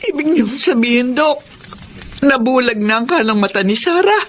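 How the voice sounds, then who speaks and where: A woman's voice: on the high side, lively intonation, moderate pace, a little hiss or hum, natural voice. Emotional, swooping Filipina mother acted by a woman. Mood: anxious, distraught. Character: anxious, emotional middle-aged mother. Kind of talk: Filipino radio drama acting.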